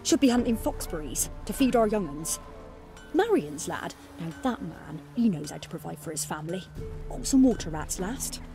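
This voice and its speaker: An actress playing a gossipy, complaining village wife in a fantasy game, with a rustic manner and a British accent. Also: female voice, on the low side, big pitch swings, moderate pace, vocal fry, over music. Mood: grumbling, chatty. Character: nagging, gossipy peasant wife. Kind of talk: video game voice acting.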